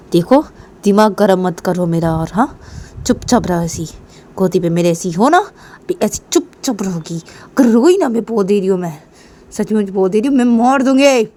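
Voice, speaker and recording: A woman's voice: medium pitch, lively intonation, moderate pace, dark tone, natural voice. A woman voicing a hot-tempered, bossy young mother in a told family story, scolding and repeating her demands. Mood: menacing, irritated.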